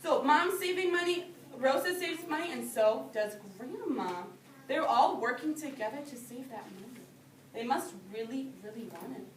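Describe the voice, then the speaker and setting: A woman reading a picture book aloud to young children as their teacher, pausing to explain things in simple phrases. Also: sits high, expressive. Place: indoor classroom. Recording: roomy, distant microphone with background noise.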